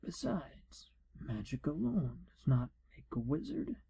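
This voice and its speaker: A shifty, pompous male wizard proctor, acted out by a man for an ASMR fantasy role play. The voice is very dark and hushed, full of husky breath and heavy creak. The delivery is wry and theatrical, as if by a self-important examiner dodging questions. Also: a little high, sing-song, a little slow, pitched below the performer's own voice, full character voice. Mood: falsely reassuring. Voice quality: hushed, breathy, half-whispered.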